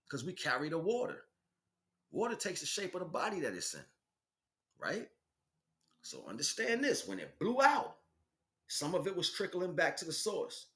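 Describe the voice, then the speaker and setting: A man's voice: mid-range voice, sharp tone. A man giving an improvised spiritual lecture in an emphatic, preaching way, repeating phrases and posing rhetorical questions. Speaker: spiritual teacher.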